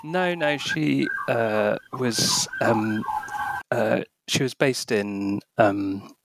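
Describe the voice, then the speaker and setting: A man's voice: mid-range voice, extremely slow, gravelly, dry recording. A man in his forties with a scratchy voice from illness, speaking hesitantly as a guest in a podcast interview. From United Kingdom. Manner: hesitant, many ums, thoughtful. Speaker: author and academic guest.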